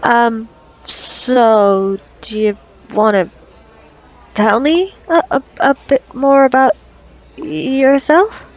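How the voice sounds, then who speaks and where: A woman's voice: fairly high pitch, steady tone, very slow. A woman playing a flustered, awkward young doctor on a date in an ASMR roleplay, stammering nervously and self-consciously. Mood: shy, flustered.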